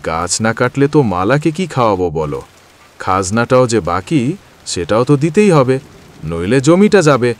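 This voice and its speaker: A man's voice: medium pitch, big pitch swings, moderate pace, close to an everyday voice. A man voicing a poor, humble village woodcutter in a cartoon, speaking earnestly and plaintively. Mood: troubled, respectful. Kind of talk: Bengali moral-story cartoon voice acting.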